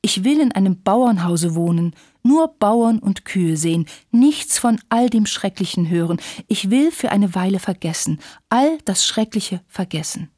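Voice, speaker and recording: A fragile young widow from a wartime story, played by a woman narrator. She is plaintive, insistent and dramatic, with breathy, husky edges and a closely recorded, dry sound. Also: medium pitch, animated, a little slow, warm tone, dry recording. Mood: pleading. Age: young adult. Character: young war widow, self-pitying, passionate, fragile, dramatic.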